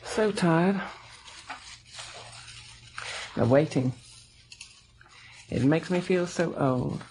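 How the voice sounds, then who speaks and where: An actor playing a weak, gentle husband of about thirty in a radio drama, husky and halting, with a plaintive, weary manner. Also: male voice, a little high, slight background noise, rough recording. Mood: weary, pleading. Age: 30s. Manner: halting, plaintive, self-doubting. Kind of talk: old radio drama acting.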